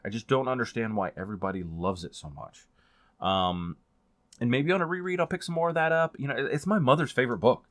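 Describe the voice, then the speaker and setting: A man talking casually as a book reviewer in a rambling, informal way that gets animated on points of emphasis. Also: mid-range voice, fast talker, no room sound, studio quality. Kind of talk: casual chatty book vlog.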